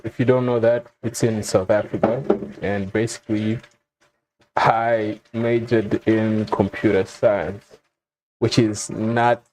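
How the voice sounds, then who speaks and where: A young man from Zimbabwe telling his own story in a relaxed, casual way, with frequent ums and soft chuckles. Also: on the low side, rough recording. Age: young adult. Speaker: vlogger.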